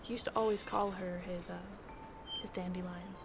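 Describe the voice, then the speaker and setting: A woman's voice: on the low side, fairly even intonation, moderate pace, over music, natural voice. A woman playing a concerned grown daughter with an earnest, conversational manner in an audio drama. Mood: reflective. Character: concerned grown daughter recalling her late father. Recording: clear background ambience.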